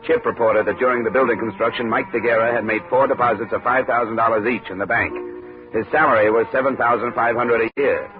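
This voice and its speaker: An American actor playing a brisk, hard-boiled male investigator in 1950s radio drama style, clipped and wry. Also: medium pitch, steady tone, close to an everyday voice. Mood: wry, matter-of-fact. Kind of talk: 1950s radio detective acting, first-person narration. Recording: muffled old radio recording, faint music.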